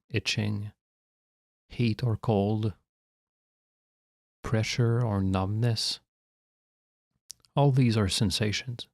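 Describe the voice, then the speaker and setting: A man leading a guided meditation as a guide, speaking in a calm, measured, instructional way with long pauses. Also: on the low side, no room sound, studio quality.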